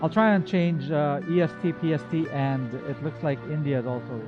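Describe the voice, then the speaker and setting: Casual male streamer, highish voice, muffled audio. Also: normal speed. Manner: rambling, informal, self-correcting. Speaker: livestream host. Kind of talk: casual chat to viewers, game reaction. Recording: dull, muffled audio with faint music.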